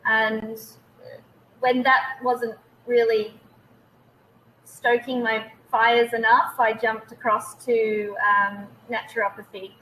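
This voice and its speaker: An Australian woman in her late thirties speaks with a bright, tense voice, a little higher than most. She talks casually and hesitantly over a video call, and a faint noise sits under her voice. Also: level tone, slow, low-quality recording. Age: 30s. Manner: hesitant, many ums. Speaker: guest naturopath. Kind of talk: casual webinar interview.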